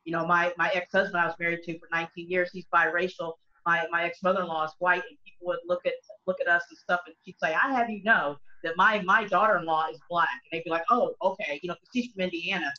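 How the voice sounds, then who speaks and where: A woman's voice: on the low side, fairly even intonation, fast, few pauses, sharp tone. A middle-aged woman, a country singer, talking earnestly on a video call from home, with many restarts. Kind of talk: casual video call discussion. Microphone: laptop webcam mic on a video call. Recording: dull lo-fi video call audio.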